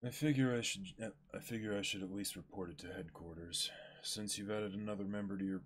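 Man reading as a deep, husky, lazy admiral. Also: a little fast. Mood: weary, casual. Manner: drawling, forgetful, unbothered. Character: lazy, laid-back male navy admiral. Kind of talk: dramatic comic-book reading.

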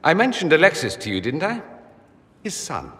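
A man's voice: fairly high pitch, huge pitch swings, moderate pace, a bit bright, long reverb tail, exaggerated voice. An actor playing a witty, vain, wounded nobleman mage in a fantasy game, articulate and theatrical. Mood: mournful, reflective. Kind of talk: dramatic fantasy game dialogue.

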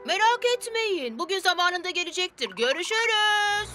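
A woman's voice: extremely high pitch, sing-song, normal speed. A woman voicing an earnest, loyal, kindly young bus in a children's cartoon, with a slightly hesitant manner. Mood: gentle, hesitant. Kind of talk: dubbed children's cartoon acting. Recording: background music under the voice.

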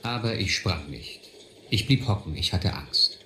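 A man's voice: low voice, animated, a little slow. An actor playing a reflective man who narrates his boyhood adventures in a radio play. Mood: reflective, yearning. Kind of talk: scripted first-person radio play narration. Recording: echoey, slightly noisy audio drama recording.

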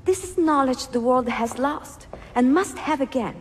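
Game heroine: bookish young Italian woman, higher register, Italian accent, poised and articulate, reverberant recording. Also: animated, measured pace, husky, full character voice. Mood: eager, curious. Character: educated young Italian bookseller, poised and passionate. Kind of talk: game cutscene acting.

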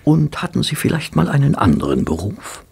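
A man's voice: a little high, huge pitch swings, brisk, vocal fry, a little mellow, slightly rough, heavily acted. A man playing a polite, curious doctor and detective's companion in a German audio drama, hesitant and easily astonished. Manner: hesitant, easily amazed, questioning.

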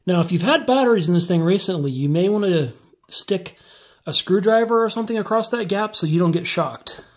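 A man walking through a hands-on build step by step in a relaxed, casual tutorial, filmed on a phone over a workbench indoors. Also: high voice, a little fast. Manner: relaxed step-by-step explaining while working. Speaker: DIY tutorial maker.

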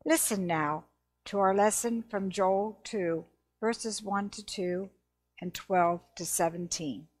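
Slow-paced older woman reading scripture. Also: medium pitch. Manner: measured, formal, reading aloud. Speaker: scripture reader. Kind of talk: solemn prayer and scripture reading. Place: indoors at a church lectern.

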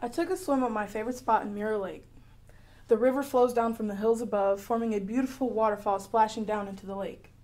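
A woman playing an adventurous, clever girl heroine who tells her own story in a radio drama, earnest and expressive. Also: a little high, a little fast, lightly acted. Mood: eager recounting. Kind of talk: first-person radio drama narration.

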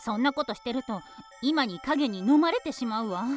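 A woman's voice: fairly high pitch, animated, moderate pace, faint music behind, close to an everyday voice. An actress playing a young girl, a scolding older sister, in a radio drama.

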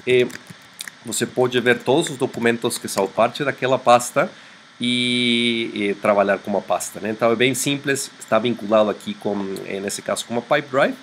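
A man's voice: mid-range voice, steady tone, normal speed, fairly bright tone, a little hiss or hum. A man in his thirties from Mexico, a native Spanish speaker with a Mexican Spanish accent, gives an earnest product demo full of hesitant fillers, recorded on a laptop mic in a small room. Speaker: startup founder presenting a product demo. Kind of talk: screen-share sales demo.

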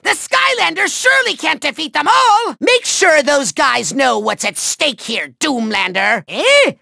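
A male actor voicing a pompous, childish little evil overlord in a video game, shrill and gravelly, grandiose, gloating and whiny. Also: sing-song, a little slow, sharp tone, dry recording, clean studio sound, heavily acted. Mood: smug, mocking, then irritated. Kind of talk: theatrical video game villain acting.

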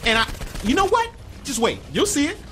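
An actor voicing an excitable, playful male gang sidekick in a video game, with music behind him. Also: extremely high pitch, a little fast, heavily acted. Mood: excited.

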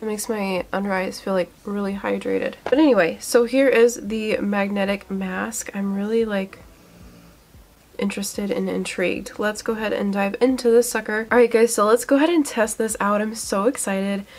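A woman's voice: medium pitch, fairly even intonation, normal speed. Congested young woman chatting about skincare. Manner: chatty, filler-heavy, eager. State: congested from a cold. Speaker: beauty vlogger. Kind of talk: casual product review. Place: small room indoors.

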